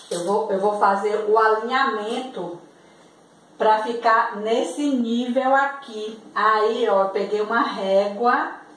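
A middle-aged Brazilian woman giving a casual, informal how-to demonstration, explaining step by step as she works in a home kitchen. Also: medium pitch. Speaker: vlog host demonstrating DIY. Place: small tiled home kitchen. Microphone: camera mic at a distance. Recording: echoey, distant, faint room noise.

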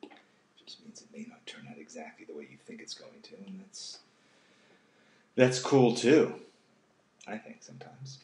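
A man in his sixties hosting a casual live drawing session, speaking haltingly and thoughtfully and often trailing off into a murmur. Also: sits low, animated, darkish tone. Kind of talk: unscripted casual talk while drawing. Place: home kitchen, indoors. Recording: roomy echo, faint background noise.

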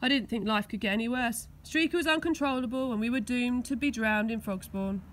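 An English woman reading a children's storybook aloud with expressive narration, recorded outdoors. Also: fairly high pitch, brisk, a little hiss or hum. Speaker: school teaching assistant reading aloud. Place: outdoors on grass.

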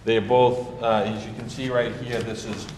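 A man's voice: mid-range voice, little pitch movement, normal speed. An older man presenting a lot plan to a planning board in a council chamber, speaking into a handheld mic with many fillers and restarts. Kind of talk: explaining a lot plan at a public meeting. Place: council chamber with wood paneling. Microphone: handheld mic, walking around. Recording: echoey chamber with light noise.

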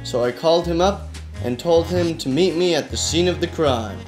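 Man playing deadpan hard-boiled private eye. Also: a little high, moderate pace, over music, close to an everyday voice. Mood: brooding narration. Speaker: detective and narrator. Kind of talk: noir detective radio play.

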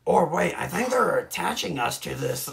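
A man voicing a wary, grumbling alien rebel sidekick in an improvised game fandub, gravelly and strained, casual and dry. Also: high voice, big pitch swings, moderate pace, fairly bright tone, heavily acted. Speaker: amateur fan-dub voice actor.

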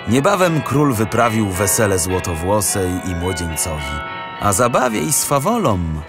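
A man narrating a fairy tale from a script in an expressive, dramatic storytelling manner. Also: on the low side, a little slow. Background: music.

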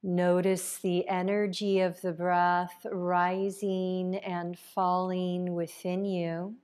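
Woman, meditation guide, extremely slow pace, even and flat intonation, calm delivery, long pauses. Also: on the low side, clear, resonant tone. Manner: calm, unhurried, with long pauses between phrases. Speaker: yoga nidra teacher.

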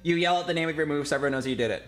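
A man's voice: a little high, very fast, a bit bright, faint music behind. A man in his twenties chatting casually as a streamer while he directs a recording session. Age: 20s. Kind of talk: casual chat, giving directions. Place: indoor streaming room.

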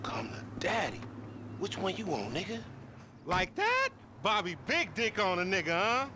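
An actor playing a brash, cocky, loudmouthed young street hustler, boastful and slangy, in a video game. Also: male voice, high-pitched, wildly swooping pitch, measured pace, noisy background, heavily acted. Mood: gleeful. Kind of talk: video game dialogue, trash-talking banter.

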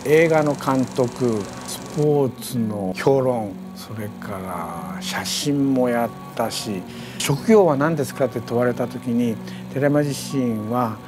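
A man gives a thoughtful interview account in a mid-range voice. He speaks in short phrases and often pauses to find the next word. Music plays behind him. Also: mid-range voice. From Japan. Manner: halting, pausing to search for words. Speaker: performer and artist's collaborator.

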